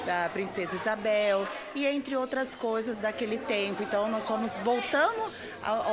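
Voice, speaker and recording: Woman teacher explaining a school project. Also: mid-range voice. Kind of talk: interview answer.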